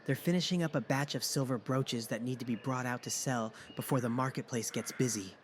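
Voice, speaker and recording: An actor playing an easygoing, encouraging young male friend in a fantasy audio drama, speaking gently and reassuringly. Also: medium pitch, a little fast, slight background noise, natural voice. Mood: mildly regretful.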